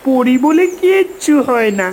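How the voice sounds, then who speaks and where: A woman's voice: high-pitched, animated, a little slow, darkish tone, slight background noise. A woman voicing a skeptical, argumentative little child in a fairy-tale cartoon, challenging and matter-of-fact. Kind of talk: Bengali fairy-tale cartoon dub acting.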